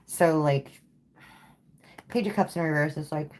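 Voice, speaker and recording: A woman with a low voice gives a live tarot reading in an easy, unhurried way. She sounds tired and a little worn, as if her voice is going, and keeps checking in with "okay?" as she talks. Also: darkish tone. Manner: casual, repeats "okay?" and "like". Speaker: tarot reader.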